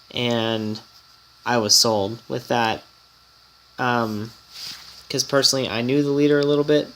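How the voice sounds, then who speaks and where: A man's voice: medium pitch, a bit bright, slight background noise, low-quality recording. Chatty gamer man, nasal with a cold. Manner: rambling, chatty, easily startled. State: slightly nasal from a coming cold. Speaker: gaming channel host and guild leader. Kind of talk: casual mobile game commentary vlog.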